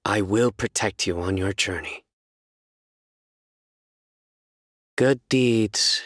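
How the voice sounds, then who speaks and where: An actor playing a solemn, stern young enforcer of justice in a video game, speaking in a measured, formal, deadpan way. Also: male voice, deep voice, dry recording, studio quality, heavily acted. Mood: solemn, resolute. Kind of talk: scripted video game character voice lines.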